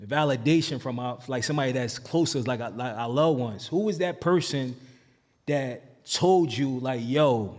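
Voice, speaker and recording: A man's voice: mid-range voice, normal speed, a little mellow, in a large hall. A man hosting a podcast, asking questions in casual slang and stumbling over repeated words as he asks. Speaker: podcast host.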